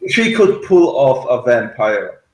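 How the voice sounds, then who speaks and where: Male podcast co-host, unhurried and halting, conversational, recorded in a roomy space. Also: medium pitch, big pitch swings. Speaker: podcast co-host reviewing a TV series. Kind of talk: casual review chat, partly reading notes aloud.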